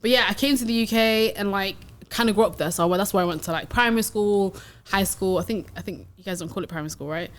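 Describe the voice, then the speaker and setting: A woman's voice: medium pitch, fast. A young British woman, a guest on a podcast, talking in a chatty, slangy way and laughing easily. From United Kingdom. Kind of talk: casual podcast conversation.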